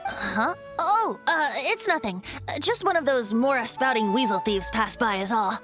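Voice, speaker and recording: An impish young male bard from a video game, played by a woman. The high, boyish voice moves freely up and down with poetic, theatrical flourish, and soft music plays behind it. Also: animated, normal speed, lightly acted. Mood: sheepish, breezy. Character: youthful male bard, impish, theatrical, secretly a wind spirit.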